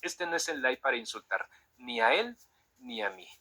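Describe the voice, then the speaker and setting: Forceful, raspy man moderating. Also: fairly high pitch, very animated, normal speed. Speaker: host and moderator of a live religious debate. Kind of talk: spoken moderation, addressing preacher and audience. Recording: live internet stream.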